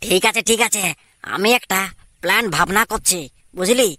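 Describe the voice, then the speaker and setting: A man's voice: sits high, moderate pace, sharp tone, no room sound. A man with a Purulia Bengali accent voicing a cocky, scheming young secret lover in an amateur cartoon, with an insistent, plotting manner.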